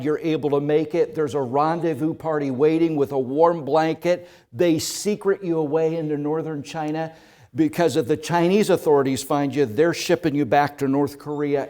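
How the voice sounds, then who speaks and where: Male pastor preaching; slightly high voice, slow measured pace, storytelling that builds from quiet to loud. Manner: storytelling in second person, swelling from hushed to loud. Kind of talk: sermon.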